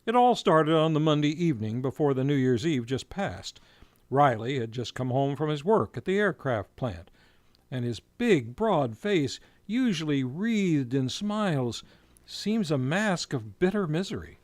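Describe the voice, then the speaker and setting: A man's voice: fairly high pitch, very animated, unhurried, no echo. A man narrating an old-time radio show as its announcer, in a theatrical, emphatic scripted style.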